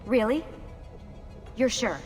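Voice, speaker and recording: An actress playing a serious, determined undercover agent in a video game, speaking directly. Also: female voice, fairly high pitch, big pitch swings, slow. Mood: reassuring. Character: urgent, determined female undercover agent on the run. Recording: music clearly behind.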